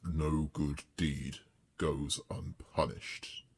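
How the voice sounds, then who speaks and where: A man voicing a huge, ancient, wrathful smoke demon in a horror story, with a deep booming voice and slow, solemn, menacing delivery. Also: level tone, a little hiss or hum, exaggerated voice. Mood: wrathful, judging. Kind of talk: horror audio story acting.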